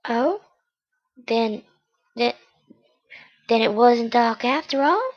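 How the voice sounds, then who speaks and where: A woman playing a polite young lady in an old-fashioned radio mystery, with measured, deliberate delivery. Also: a little high, lively intonation. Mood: hesitant, shaken. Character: polite young woman visitor, secretly a murderer. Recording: dull, lo-fi amateur radio-drama recording.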